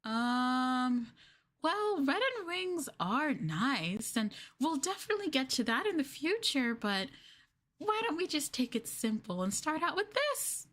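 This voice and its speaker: A woman playing a sweetly possessive, obsessive young girlfriend in a yandere ASMR roleplay, cooing coyly with drawn-out endearments. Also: high-pitched, big pitch swings, fairly bright tone, exaggerated voice. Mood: coy, excited.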